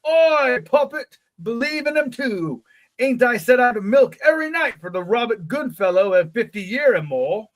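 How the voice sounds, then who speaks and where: Man putting on a kindly old English shepherd, high-pitched. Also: animated, normal speed. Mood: kindly, earnest. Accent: rural English. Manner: folksy, quaint dialect speech.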